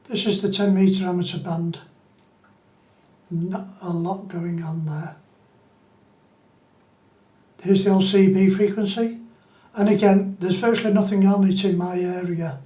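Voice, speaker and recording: A man's voice: high-pitched, fairly even intonation, measured pace, long pauses, dark tone, echoey room. A middle-aged man, giving an informal explanatory tutorial as a hobbyist. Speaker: amateur radio hobbyist presenting a tutorial.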